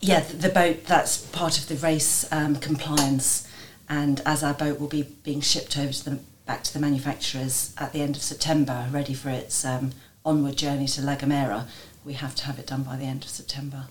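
A woman in her fifties, from Jersey, speaks in a notably low register. She explains things at length as a guest, often restarting her sentences and choosing her words with care. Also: flat delivery, fairly quick, a little hiss or hum. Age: 50s. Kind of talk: casual interview answers.

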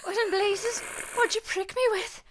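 A woman's voice: very high voice, fairly even intonation, unhurried, very noisy. An actress playing a nosy, feisty neighbour woman in a horror radio drama, blunt and colloquial. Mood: shocked.

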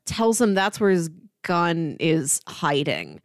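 A woman's voice: sits low, wildly swooping pitch, slow, creaky, no room sound, studio quality. A woman co-hosting a casual film discussion, retelling scenes conversationally and voicing the characters' lines. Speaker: film podcast co-host.

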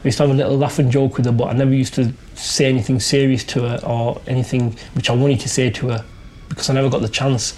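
A man's voice: medium pitch, flat delivery, quick pace, warm tone, slight background noise. A middle-aged British man telling a personal story in an informal, colloquial, conversational way. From UK, Pakistani family. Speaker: interviewee.

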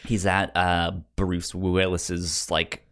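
A man co-hosting a film podcast in casual conversation, speaking haltingly and often repeating sounds and syllables as he goes. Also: sits low, monotone, fairly bright tone.

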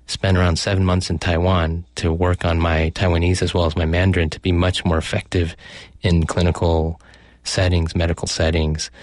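A man's voice: low-pitched, no echo, studio quality. A middle-aged man, a physician, explaining his work in a conversational interview, thoughtful, with small restarts and fillers. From United States.

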